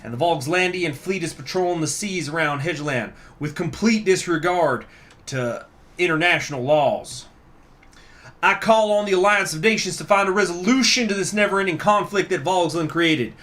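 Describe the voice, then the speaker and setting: A man's voice: high-pitched, normal speed, a bit bright, pitched above the performer's own voice. A man voicing an indignant male political delegate in a storybook, with a foreign accent and a formal, emphatic, declamatory delivery.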